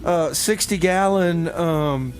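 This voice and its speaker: A man's voice: a little high, slow, soft background music. A man hosting a radio show, casually announcing songs and bantering in comedy bits.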